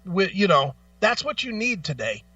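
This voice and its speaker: A man's voice: high-pitched, very animated, normal speed, bright, forceful tone, no echo, distant mic, highs cut off. A man hosting a talk show with blunt, emphatic, opinionated commentary, casual in style and repeating phrases for stress.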